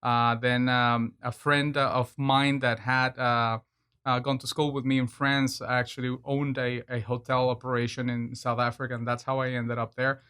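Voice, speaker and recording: A bright, smooth male voice from a man from Venezuela, talking in an easygoing, filler-heavy way. He keeps a fairly level pitch and breaks into laughs often. He sounds like a chef in his thirties chatting as a guest. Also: flat delivery, moderate pace. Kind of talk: casual interview chat.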